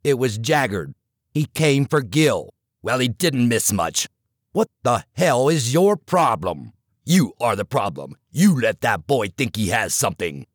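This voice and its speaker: A man's voice: mid-range voice, very animated, measured pace, no echo, studio quality, pitched below the performer's own voice. A man reading the part of a stubborn male baseball coach, curt and dismissive. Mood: contemptuous.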